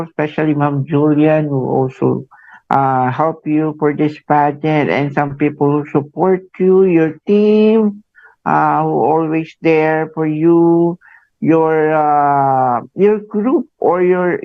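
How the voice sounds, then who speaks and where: A Filipino man with a gravelly, fairly high voice, hosting a radio talk show. He speaks slowly and haltingly, tags "no?" onto his phrases, and sounds dull and lo-fi over a dry broadcast line. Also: dry recording. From Philippines. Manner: halting, many fillers, tag "no?" after phrases. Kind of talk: radio interview.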